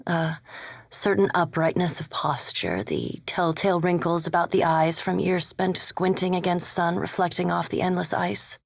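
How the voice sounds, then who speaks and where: A woman playing a coolly polite, scholarly vampire animancer in a video game, speaking in a measured, formal and precise way. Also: on the low side, creaky, no room sound. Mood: softly reflective. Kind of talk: video game character dialogue.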